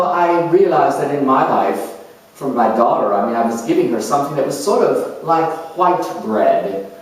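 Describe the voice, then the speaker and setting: An earnest, nurturing spiritual counselor played by a man delivers a reflective dramatic monologue in a very echoey space, some way from the microphone. The phrasing is slow and halting, with deliberate pauses between thoughts. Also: mid-range voice. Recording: very echoey room, distant microphone.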